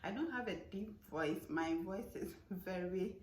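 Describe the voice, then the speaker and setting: A Ghanaian woman talking casually to her vlog viewers, her voice hoarse from the dry harmattan air. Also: mid-range voice, measured pace, a little mellow, slight background noise. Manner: chatty, mixes in local sayings. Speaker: vlogger.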